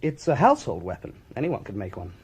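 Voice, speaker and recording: An actor playing a British forensic doctor in a radio drama, lecturing with precise, self-satisfied relish. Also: male voice, lowish voice, huge pitch swings, fast talker, a little mellow, husky, heavily acted. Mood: relishing, didactic. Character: brisk, relishing male forensic doctor, theatrically pedantic.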